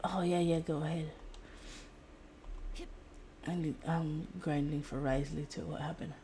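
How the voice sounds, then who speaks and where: Low-pitched woman streamer chatting over noise. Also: fairly even intonation, a little slow, warm tone. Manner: rambling, giggly. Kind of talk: casual livestream chat while gaming.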